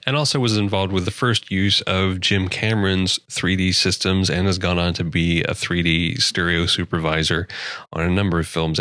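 A man's voice: deep voice, dry recording, studio quality. A man in his forties hosting a film podcast, chatting casually and laughing at himself. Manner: relaxed, self-deprecating, laughs often. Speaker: podcast host. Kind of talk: casual chat.

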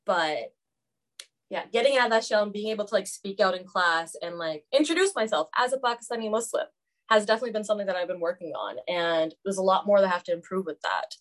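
Young woman, student guest, chatty and candid, mid-pitched everyday voice. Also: expressive, fast, fairly bright tone. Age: young adult. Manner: candid, chatty, many "like" fillers. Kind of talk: casual podcast roundtable.

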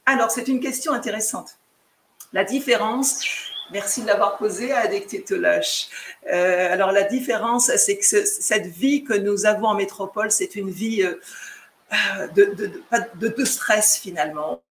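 A woman's voice: mid-range voice, unhurried, a little hiss or hum. A woman from France chatting on a live stream with her viewers, talking hesitantly with many fillers and restarts.

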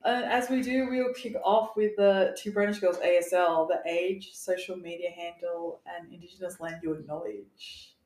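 A woman's voice: on the low side, fairly even intonation, normal speed. A woman co-hosting a podcast, joking and giggling. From Western Sydney, Australia. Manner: giggly, joking, says shout out a lot. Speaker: podcast co-host. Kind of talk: casual podcast interview. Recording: roomy echo, faint noise.

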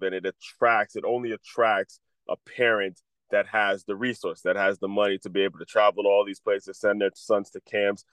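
Male podcast host, congested, low voice, rambling casual delivery. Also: clean tone, no echo. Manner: rambling with frequent restarts. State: congested, under the weather. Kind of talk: casual solo talk, advice to athletes.

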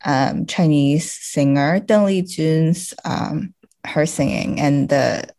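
Low-pitched woman chatting haltingly. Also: dark tone. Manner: halting, searching for words, with frequent "like" and "you know". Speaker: podcast guest. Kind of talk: casual interview conversation.

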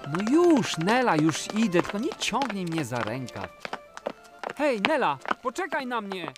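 A man's voice: sits high, huge pitch swings, measured pace, over music, voice pushed up, heavily acted. A man voicing a playful, curious little boy who exclaims and jokes in a children's audio play. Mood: whiny, reluctant. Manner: exclaiming, inquisitive, cheeky.

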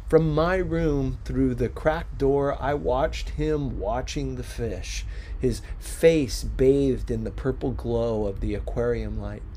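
A man reading a novel aloud as expressive scripted narration, with measured, dramatic phrasing. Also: mid-range voice. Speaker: audiobook-style reader. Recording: faint background noise.

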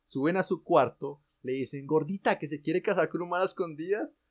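A man's voice: a little high, a little fast. Slangy Colombian man telling a story. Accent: Colombian Spanish. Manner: animated, slangy, swears casually. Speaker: guest telling his story. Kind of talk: casual storytelling chat.

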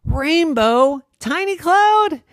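A storyteller voicing a crowd of clouds who tease and then gasp in astonishment, in a high, squealing voice. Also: very animated, measured pace, gravelly, no room sound, studio quality. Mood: astonished. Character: crowd of teasing, amazed clouds.

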